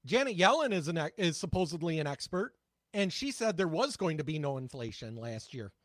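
A man co-hosting a political talk podcast in a high, fairly light voice. He speaks bluntly and mocks what he hears, swearing freely. The recording is dry and close. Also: very animated, fairly quick, dry recording. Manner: blunt, profane, sarcastic commentary. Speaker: podcast co-host. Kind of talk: casual political chat.

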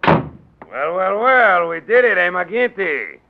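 High-pitched, blustering crooked political boss. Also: male voice, very animated, takes it slowly, vocal fry, background noise, full character voice. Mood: sly, sarcastic. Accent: American. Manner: blustering, sarcastic, gangster-like. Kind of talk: 1940s radio drama acting. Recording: dull vintage 1940s radio recording.

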